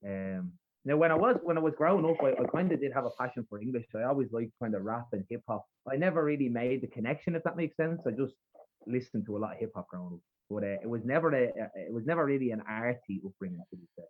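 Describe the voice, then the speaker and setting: Rough north Dublin man on a tinny line. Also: mid-range voice, expressive, quick pace. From Dublin, Ireland. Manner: rambling, lots of fillers and restarts. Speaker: podcast guest, spoken word poet. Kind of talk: casual interview chat. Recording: husky voice over a tinny remote line.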